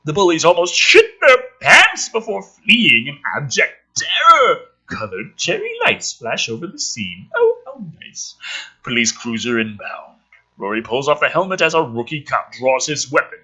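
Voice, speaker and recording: Very animated man with a bright voice. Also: on the high side, moderate pace, husky. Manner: animated, sardonic. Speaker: host of a script-reading commentary show. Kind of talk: reading a film script aloud with casual, profane commentary.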